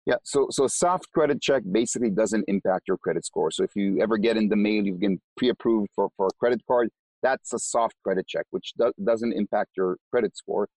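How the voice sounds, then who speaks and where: A man's voice: on the low side, brisk, darkish tone, no room sound, rough recording. A man with an Israeli accent, a company co-founder, explaining his business in an interview and often repeating words as he starts sentences.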